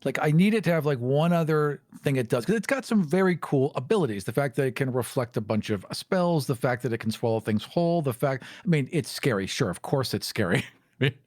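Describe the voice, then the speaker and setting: A man's voice: mid-range voice, expressive, a little fast, a little mellow, dry recording, studio quality. A man on a discussion panel, speaking casually in a playful, opinionated way. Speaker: podcast panelist.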